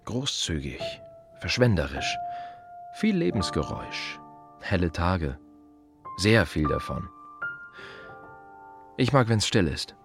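An aging gravedigger in a radio play monologue, with a very low voice over soft music. He is weary and reflective, fond of silence. He muses in terse fragments, circling back to repeat his phrases. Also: big pitch swings, slow, long pauses, full character voice. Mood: quiet, matter-of-fact.

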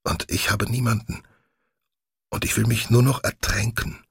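Male narrator as a desperate young woman in a literary audiobook, gravelly, begging in earnest, close dry sound. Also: lowish voice, level tone, normal speed, fairly bright tone, no echo, full character voice. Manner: pleading, deadly serious.

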